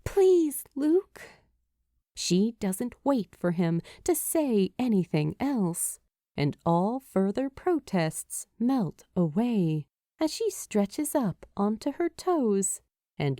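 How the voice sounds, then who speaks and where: A woman narrates fiction at an unhurried pace. Her voice is mellow and moves through a lively range of pitch. The recording is dry and close. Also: no room sound. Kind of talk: expressive scripted story narration.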